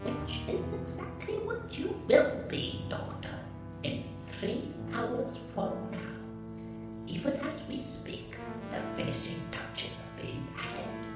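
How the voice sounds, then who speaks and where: An actor playing an old, mad, imperious sci-fi villain with a harsh, electronically treated rasp and a menacing, grandiose delivery in a radio drama. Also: male voice, extremely high pitch, huge pitch swings, slow, heavily acted. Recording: very echoey, distant, music underneath.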